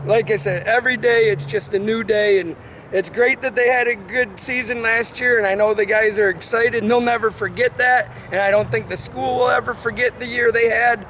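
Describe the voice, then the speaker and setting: A man's voice: extremely high pitch, steady tone, normal speed, fairly bright tone, poor audio. A man who coaches college soccer, giving a casual interview answer over some ambient noise.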